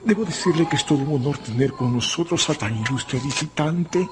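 An actor playing an obsequious hotel manager in a radio drama, servile and ceremonious. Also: male voice, a little high, fairly quick, close to an everyday voice. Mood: eager, servile.

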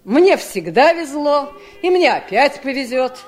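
An actress playing a scornful, cold-hearted, greedy wife in a 1970s radio drama, with a mocking, theatrical delivery. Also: female voice, high-pitched, big pitch swings, measured pace, darkish tone, soft background music. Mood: gloating, cruel.

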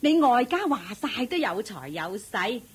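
An actress playing a nosy, evasive village gossip in a Hong Kong Cantonese radio drama, full of theatrical exclamations. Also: female voice, on the high side, big pitch swings, measured pace, slightly rough, exaggerated voice. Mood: gossipy.

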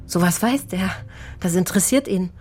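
An actress playing a worried, apologetic working-class aunt who speaks plainly and haltingly in a naturalistic radio crime drama. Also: female voice, fairly low pitch, expressive, darkish tone, natural voice. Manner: hesitant, halting, unsure, plain-spoken.

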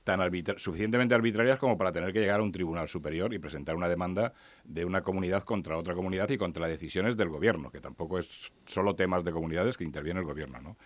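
A man's voice: low-pitched, fast, dry recording. A man from Ciudad Real, a panelist in a radio debate, speaking in an emphatic, lamenting way. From Ciudad Real, Spain.

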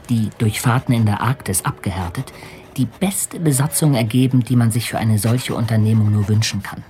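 An actor playing a determined, adventurous English gentleman captain in a radio drama, articulate and formal. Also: medium pitch, close to an everyday voice. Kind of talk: radio drama acting and letter narration.

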